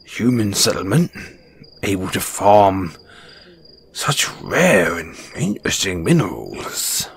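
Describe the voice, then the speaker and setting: Casting: male actor as kindly battle-scarred dwarf smith, low-voiced and unhurried, humble fantasy roleplay storyteller, crickets faintly behind. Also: very animated, creaky, slightly rough, heavily acted. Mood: fond wonder. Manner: folksy, chuckling, hesitant storyteller. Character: kind, modest, battle-scarred dwarven blacksmith, hearty adventurer. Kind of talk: fantasy audio roleplay, spoken to a companion.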